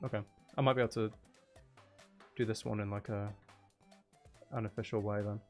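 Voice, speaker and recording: Creaky-voiced man streaming a game. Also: fairly low pitch, fairly even intonation, moderate pace, a little mellow, soft background music. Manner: talks to himself, muttering and exclaiming. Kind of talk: casual livestream gameplay commentary.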